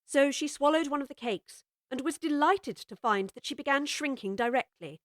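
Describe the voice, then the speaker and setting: A woman narrating a full-cast audiobook of a children's classic in a measured, expressive way. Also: sits high, no echo, clean studio sound. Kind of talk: scripted storybook narration.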